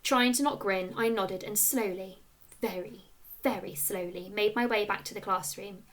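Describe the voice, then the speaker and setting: A woman reading a children's story aloud like a schoolteacher, with expressive narration and clear storytelling emphasis. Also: mid-range voice, normal speed.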